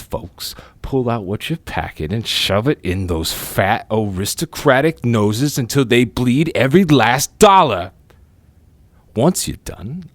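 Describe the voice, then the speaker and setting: An actor playing a brash, wisecracking crime boss with slangy, bossy street talk in an audio drama. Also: male voice, on the low side, dry recording.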